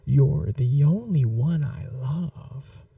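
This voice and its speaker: A possessive, seductive vampire noblewoman, acted by a woman murmurs in a very dark, velvety voice that often drops to a breathy whisper. Her delivery is slow and languid, teasing and coaxing as in an intimate ASMR roleplay. The sound is close and dry. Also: very deep voice, lively intonation, dry recording, far from the mic, full character voice. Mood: possessive, tender.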